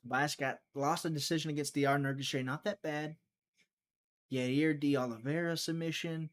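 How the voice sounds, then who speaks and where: A man hosting a live prediction stream, chatting casually, thinking out loud and talking to his viewers, sometimes trailing off into a mutter. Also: a little high, fairly even intonation, normal speed. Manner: chatty, thinks aloud, talks to chat.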